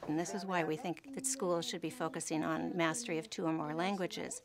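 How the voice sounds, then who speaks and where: A woman advocate giving a measured, explanatory documentary interview. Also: low-pitched, little pitch movement. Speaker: education advocate. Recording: music bed under interview.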